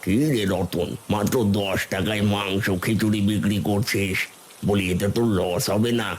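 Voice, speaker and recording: A man plays an older village uncle in a cartoon, curious and appreciative. His voice is on the low side, that of a food-loving customer. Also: fairly even intonation, normal speed, a little hiss or hum, close to an everyday voice. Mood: curious, eager. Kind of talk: cartoon voice acting.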